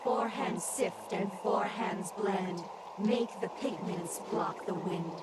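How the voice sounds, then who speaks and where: A woman's voice: slow pace, heavy background noise. A woman voicing an eerie witch in a video game, reciting a ritual rhyme with a rhythmic, incantatory delivery. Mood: eerie, chanting. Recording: loud rumbling ambience throughout.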